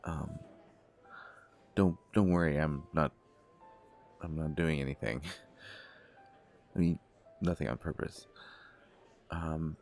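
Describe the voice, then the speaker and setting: Man playing a deep, hesitant, gentle young god. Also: slow pace, creaky, pauses often, faint music behind, exaggerated voice. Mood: sheepish, amused. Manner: hesitant, stammering, softly polite. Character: shy, gentle, blind young male god of desire. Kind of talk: intimate audio roleplay of a date.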